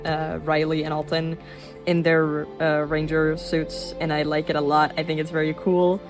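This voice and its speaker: A woman of twenty-one chatting casually in a rambling way while showing her sketchbook, with fillers and quick laughs. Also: sits low, little pitch movement. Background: soft background music.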